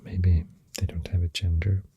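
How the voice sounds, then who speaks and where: A soft-spoken ASMR creator with a Swedish accent, rambling in a breathy, husky near-whisper with hesitant pauses, close to the microphone. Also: low-pitched, flat delivery, dry recording. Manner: slow, hesitant, pausing between phrases.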